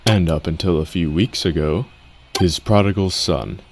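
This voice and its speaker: A man's voice: low-pitched, moderate pace, background noise. A man narrating a scripted story as its narrator.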